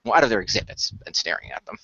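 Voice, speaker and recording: A man narrating an amateur radio play in a halting way, often repeating the start of his phrases. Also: fairly low pitch, expressive, pushed and bright, husky, dry recording, muffled recording.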